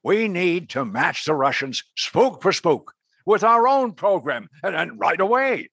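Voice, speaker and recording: An actor playing an eager-to-please deputy director in a comedic radio play, husky and prone to stammering. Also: male voice, high-pitched, sing-song, measured pace, fairly bright tone, no room sound, clean studio sound, exaggerated voice.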